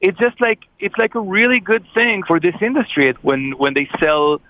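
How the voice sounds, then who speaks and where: A man's voice: high-pitched, moderate pace, highs cut off. A man, a Hebrew speaker, hosting a casual discussion and talking thoughtfully with frequent restarts. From Israel. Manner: thoughtful, hesitant with repeated restarts.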